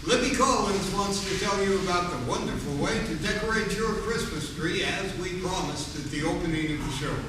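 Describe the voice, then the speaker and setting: A man's voice: high voice, lively intonation, normal speed, hardly any pauses. Man playing an echoey old-time radio announcer. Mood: polished, enthusiastic. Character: smooth, enthusiastic 1940s radio show announcer. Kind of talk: 1940s radio announcing, scripted. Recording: distant, echoey, noisy hall.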